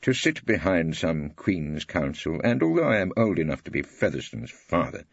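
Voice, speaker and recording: A man playing a witty, weary old English barrister who narrates in a wry, pompous, husky voice. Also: low-pitched, big pitch swings, moderate pace, no echo, lo-fi sound, exaggerated voice. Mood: wry, aggrieved. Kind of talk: scripted first-person audiobook narration.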